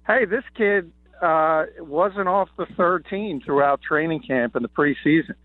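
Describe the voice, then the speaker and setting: A slightly hoarse man, an NFL columnist calling in, gives confident, opinionated analysis with many "uh" fillers. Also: on the high side, unhurried, dry recording. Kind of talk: sports radio analysis by phone. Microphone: phone. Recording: tinny dry phone line.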